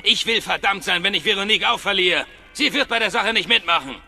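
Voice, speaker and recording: A man's voice: high voice, quick pace, creaky, sharp tone, heavily acted. A man voicing a tough, blunt, sardonic Irish mechanic and brawler in a German video game. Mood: angry, threatening. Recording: music behind the voice.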